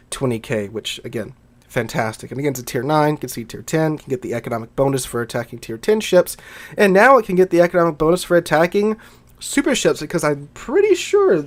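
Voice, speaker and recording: A man with a Southern American accent, congested from a cold, giving enthusiastic casual commentary on a gaming video. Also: on the high side. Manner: enthusiastic, conversational. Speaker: gaming YouTuber.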